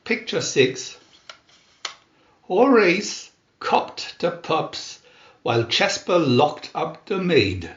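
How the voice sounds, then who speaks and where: Slow, lively man reading a storybook. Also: on the high side. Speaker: storybook narrator. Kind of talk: scripted children's story reading. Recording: roomy, echoey, dull sound.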